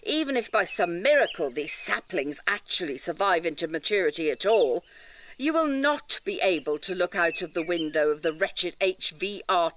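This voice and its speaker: An indignant, pedantic nature campaigner. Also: female voice, fairly low pitch, fairly bright tone, a little hiss or hum. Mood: scornful. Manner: emphatic, pedantic, rhetorical questioning. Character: indignant, lecturing nature-reserve campaigner Mr Bellman. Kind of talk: audio drama acting.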